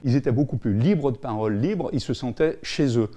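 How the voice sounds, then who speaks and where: A man's voice: medium pitch, expressive, brisk, mellow. A man recounting experience in an interview, spontaneous and sometimes searching for his words.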